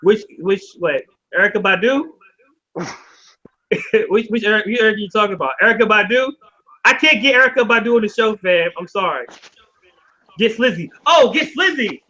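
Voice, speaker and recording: A man hosting a casual live video chat, rambling, laughing often and getting excited. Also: high voice, normal speed. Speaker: show host. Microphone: video call. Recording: dull lo-fi video call audio.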